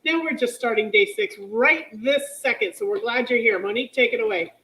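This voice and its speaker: A woman hosting a live stream who chats in a relaxed, easygoing way and laughs easily. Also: fairly high pitch, a little fast, poor audio. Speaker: show host. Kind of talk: live-stream casual chat.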